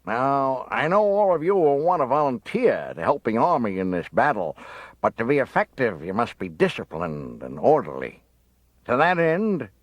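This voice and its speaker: A man voicing a gruff, scheming male con-man mentor who growls and snaps his orders. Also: medium pitch, no room sound, voice pushed up. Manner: growling, snapping, curt.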